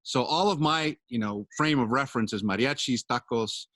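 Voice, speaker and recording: A man hosts the interview, his voice at a middle pitch and recorded dry and close. He asks long, effusive questions that wander and restart before landing. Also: normal speed, a bit bright, no room sound. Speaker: interviewer, host. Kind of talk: conversational interview.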